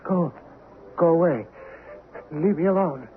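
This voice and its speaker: A terrified, haunted man acted by a man, high-voiced and panicked, pleading in slow, halting bursts. It sounds like vintage radio drama, narrow and thin. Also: a little hiss or hum, close to an everyday voice. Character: terrified wealthy man facing a ghost from his past. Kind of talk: 1970s radio drama acting.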